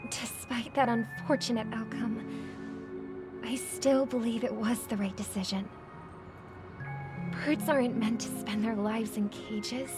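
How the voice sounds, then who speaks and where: An actress playing an earnest, idealistic young woman in a video game, speaking softly and thoughtfully. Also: fairly high pitch, steady tone, unhurried, pauses often, loud music behind the voice, natural voice. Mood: wistful, firm. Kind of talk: dramatic video game voice acting.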